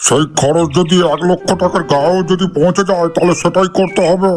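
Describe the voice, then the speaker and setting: A man's voice: high voice, steady tone, fairly quick, a little mellow, soft background music, natural voice. A man voicing a bossy, blunt village club leader who scolds and swears in a comedy cartoon. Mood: firm.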